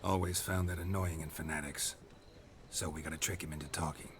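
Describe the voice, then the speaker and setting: An actor voicing a gruff, stoic monster hunter in a video game, terse and deadpan with a gravelly low voice. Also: male voice, fairly quick, background noise. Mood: dry, guarded. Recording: music behind the voice.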